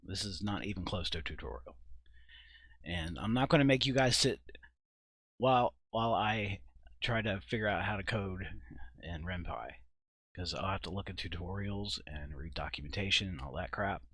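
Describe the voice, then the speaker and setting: A man with a fairly low voice talks through a screen-recorded software demo. He is informal and halting, thinking aloud as he goes. The sound is fairly dry, as recorded indoors at a computer. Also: no room sound. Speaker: video creator and host.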